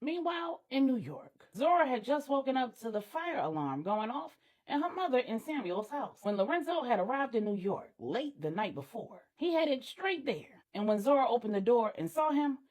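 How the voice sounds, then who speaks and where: A woman storyteller delivering dramatic scripted narration with deliberate, emphatic pauses. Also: fairly high pitch, sing-song, darkish tone, rough recording.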